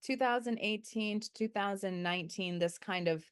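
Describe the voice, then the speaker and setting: A young adult woman answering interview questions as a researcher, thoughtful and measured, sometimes correcting herself. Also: mid-range voice, smooth. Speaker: guest researcher.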